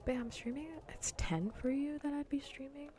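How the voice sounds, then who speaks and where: A woman streamer chatting casually in a soft, breathy ASMR voice that often drifts into whispers, relaxed and rambling, close to the mic. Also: high voice, a little fast. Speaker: livestreamer hosting a cozy gaming stream. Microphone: close ASMR microphone.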